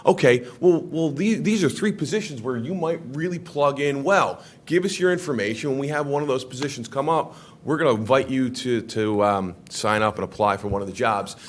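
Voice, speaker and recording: A man's voice: mid-range voice, a little fast, hardly any pauses. A man on city staff giving an earnest presentation to a city council, with emphatic rises and frequent restarts. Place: council chamber.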